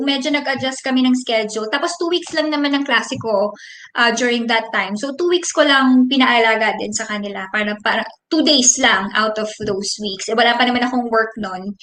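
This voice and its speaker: A Filipino woman in her thirties answers viewers' questions on a live stream, reading their comments and explaining at length. Her voice sits high and sounds bright and clear. The room around her is echoey. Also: brisk. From Philippines. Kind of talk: casual live Q&A, switching between English and Tagalog.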